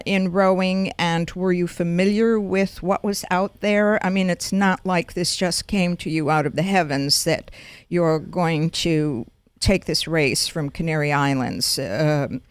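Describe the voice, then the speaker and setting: A woman hosts a radio interview show, her voice smooth and fairly low. The sound is dry and close on a studio mic, and she phrases her questions deliberately, often going back over her words. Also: no echo. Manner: deliberate, repeats words when asking.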